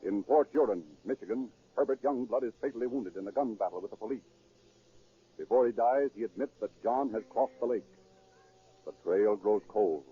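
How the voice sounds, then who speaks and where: A man's voice: on the low side, normal speed, slightly rough. A man delivering dramatic scripted narration in the style of 1930s radio. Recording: tinny, phone-like 1930s radio recording.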